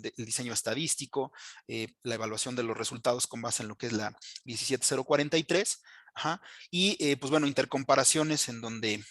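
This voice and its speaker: A man giving a technical training presentation as an instructor, speaking haltingly with frequent fillers and check-in tags. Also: medium pitch, a bit bright, no room sound, studio quality.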